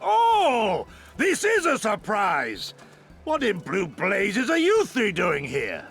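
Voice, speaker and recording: An actor playing a surprised, gruff adult male game character who uses theatrical, old-fashioned exclamations. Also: high voice, huge pitch swings, slow pace, fairly bright tone, exaggerated voice. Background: faint game music.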